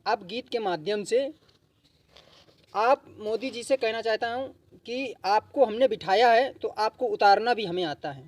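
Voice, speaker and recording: A man at a public gathering, addressing the crowd and singing protest verses in a slow, repetitive, chant-like refrain. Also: sits high. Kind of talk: sung protest verses with short spoken lead-in. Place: public gathering with a seated audience.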